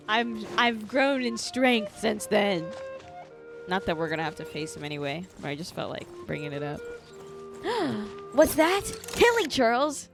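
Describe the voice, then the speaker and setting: A young woman doing casual livestream game commentary, expressive and theatrical, giggly and slangy. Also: medium pitch, takes it slowly, gravelly, over music. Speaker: live streamer.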